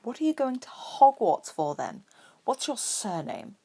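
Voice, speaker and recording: A woman's voice: on the high side, sing-song, unhurried, vocal fry, warm tone, slightly rough, voice pushed up, full character voice. A haughty young wizard boy acted out by a woman, drawling lazily through a story. He is spoiled and snobbish, with a derisive edge to his words. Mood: curious, prying. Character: pale, haughty, spoiled eleven-year-old wizard boy.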